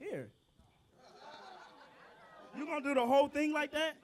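A man, a rapper, chatting loosely and excitedly with his audience between songs at an outdoor backyard show, profane and quick in bursts. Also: very high voice. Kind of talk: casual banter with a live audience.

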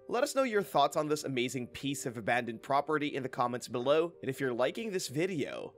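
Male voice-over, middle pitch, steady scripted delivery with punchy countdown headings, faint music underneath. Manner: emphatic on countdown headings, upbeat and even. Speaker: narrator of a countdown video. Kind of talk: scripted documentary narration. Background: soft background music.

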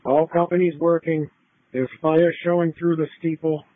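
A man's voice: a little high, level tone, moderate pace, no echo. A man working as a fire alarm dispatcher, giving formal announcements in short, repeated, clipped phrases over a two-way radio. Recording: narrow-band fire radio scanner audio.

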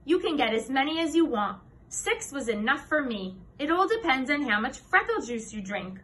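Woman doing a smug little schoolgirl, scheming, teasing, confiding, high bright voice, storybook character. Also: big pitch swings, normal speed, distant mic. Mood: smug, coaxing. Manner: teasing, confiding sales pitch.

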